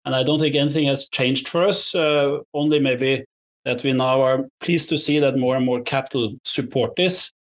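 Measured man giving careful interview answers. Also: mid-range voice, steady tone. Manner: measured, diplomatic, careful wording. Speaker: investor, interview guest.

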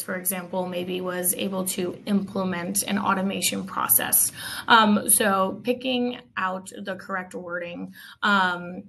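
Female recruiter, crisp bright tone, fairly flat intonation, explanatory panel delivery. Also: mid-range voice, a little hiss or hum. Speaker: recruiter and panel guest giving job-seeker advice. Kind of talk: unscripted advisory panel talk.

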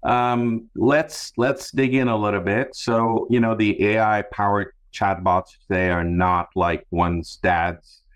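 A male podcast host with a low voice. He speaks in a conversational way, as one does when introducing topics and putting questions to a guest. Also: fairly even intonation, slow pace, clear, resonant tone. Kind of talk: conversational interview hosting.

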